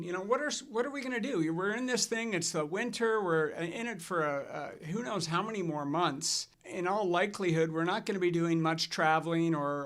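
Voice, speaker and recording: Middle-aged man hosting a finance chat. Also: on the high side. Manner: relaxed storytelling with fillers and restarts. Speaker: financial advisor and show host. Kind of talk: casual scripted chat.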